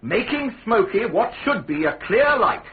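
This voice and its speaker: An actor with a British accent playing a hostile, pompous state prosecutor, insistently cross-examining in a radio drama. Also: male voice, sits high, big pitch swings, a little slow, narrow, tinny audio. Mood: accusing, insistent. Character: hostile, sardonic state prosecutor, forceful and scheming. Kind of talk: radio drama courtroom acting.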